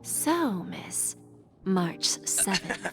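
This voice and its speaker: Slow, mysterious seductive businesswoman. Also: medium pitch, sing-song, creaky, full character voice. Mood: coaxing. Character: mysterious, seductive, unhurried businesswoman who grants wishes at a price. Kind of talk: video game voice acting. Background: background music.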